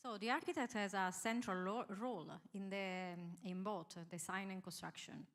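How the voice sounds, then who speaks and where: A woman's voice: medium pitch, steady tone, moderate pace, fairly bright tone. A woman in her thirties from Italy with an Italian accent, an architect introducing herself on a panel, hesitating often with fillers.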